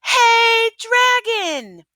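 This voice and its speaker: A woman's voice: very high voice, big pitch swings, drawn-out words, pushed and bright, no echo, clean studio sound, pitched above the performer's own voice, heavily acted. A woman voicing a bold, clever young princess from a storybook, with an exclamatory, dramatic delivery. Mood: shouting.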